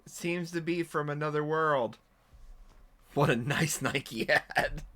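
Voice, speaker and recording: A man giving casual playthrough commentary, incredulous and joking, often halting as he types. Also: fairly high pitch, fairly bright tone. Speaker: streamer playing an AI storybook game.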